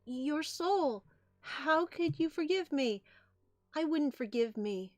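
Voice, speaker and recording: A woman's voice: high-pitched, lively intonation, slow pace, dry recording, voice pushed up. A woman voicing an emotional, guilt-ridden female rabbit in a comic, pleading and full of grief. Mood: anguished.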